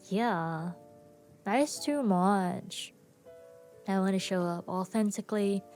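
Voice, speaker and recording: A tired woman hosts a casual solo podcast in a slow, unhurried ramble. Her voice has a gravelly fry, with soft music playing faintly underneath. She laughs often, pauses a lot and fills the gaps with ums. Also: medium pitch. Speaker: podcast host. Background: soft background music.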